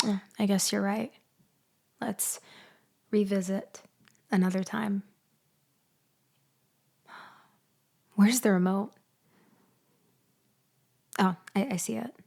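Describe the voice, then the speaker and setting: A woman acting as a bitter, sardonic ex-girlfriend out for revenge in an ASMR-style audio roleplay, with pointed, mock-sweet delivery and loaded pauses. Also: mid-range voice, creaky, a little mellow. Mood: mock-casual, sardonic. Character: vengeful ex-girlfriend freshly released from prison, bitterly sardonic.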